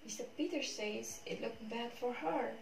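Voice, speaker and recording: A woman's voice: a little high, normal speed, creaky, slight background noise. A young woman playing a timid, dutiful sheriff's wife in an amateur stage drama. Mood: uneasy. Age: young adult. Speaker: student actor in a class play. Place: small bare dim room indoors. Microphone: far camera. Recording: echoey distant room recording, music behind.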